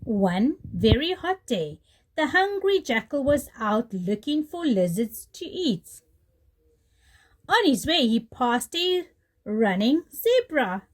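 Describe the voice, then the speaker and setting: A woman teacher reading a children's story aloud in an animated way, addressing young children. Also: sits high, slow, rough recording. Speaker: pre-primary teacher, storyteller.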